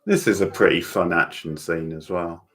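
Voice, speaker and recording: A man's voice: low-pitched, moderate pace, a bit bright. A man hosting a livestream watchalong, giving casual commentary and chatting with viewers. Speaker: livestream host.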